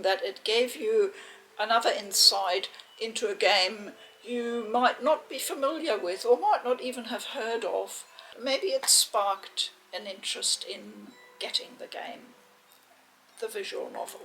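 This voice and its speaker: A woman's voice: fairly high pitch, a bit bright, a little hiss or hum, low-quality recording. A woman hosting a game review video, talking casually to viewers. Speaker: game review channel host. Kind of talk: casual unboxing and review talk.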